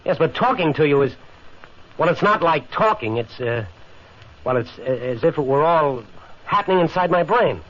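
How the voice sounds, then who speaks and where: An actor playing a bewildered human pilot in a 1950s radio drama, halting and incredulous. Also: male voice, fairly high pitch, lively intonation, a bit bright. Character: bewildered human pilot held aboard an alien ship. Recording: muffled 1950s radio recording.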